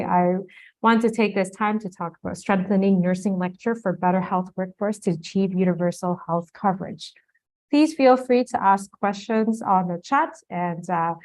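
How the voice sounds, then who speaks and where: Woman, Japanese accent, native Japanese speaker, guest lecturer, conversational, video call mic, mid pitch. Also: a little mellow, low-quality recording. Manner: conversational, explanatory, some restarts. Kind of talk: online lecture.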